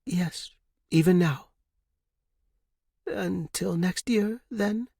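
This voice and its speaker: A frail, wistful old widow, as played by a male narrator, speaking high and husky in a dry storybook recording. She is genteel and devoted, lingering tenderly over formal phrases. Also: drawn-out words, dark tone, no room sound, full character voice. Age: older. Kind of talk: audiobook character reading.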